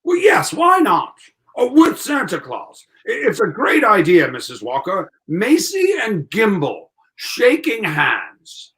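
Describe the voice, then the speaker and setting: A man performs a middle-aged store tycoon for a forties radio play. He is blustery and shrewd, and his delivery swoops widely between low and high. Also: wildly swooping pitch, slow, a bit bright, heavily acted. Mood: expansive. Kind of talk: 1940s radio acting.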